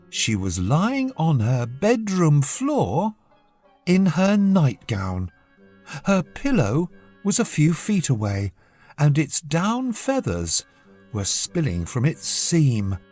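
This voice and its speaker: Slow, expressive woman storyteller. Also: low-pitched, faint music behind. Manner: expressive, measured read-aloud. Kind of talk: scripted children's bedtime story narration.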